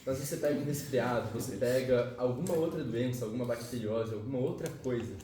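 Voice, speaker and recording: A man explains biology to his students in a loose, slangy way, swearing now and then and checking in with them often. His voice sits at an ordinary pitch and is picked up from a distance. The room is echoey and the recording is noisy. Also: fast talker, warm tone. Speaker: biology teacher. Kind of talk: casual classroom lesson. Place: classroom.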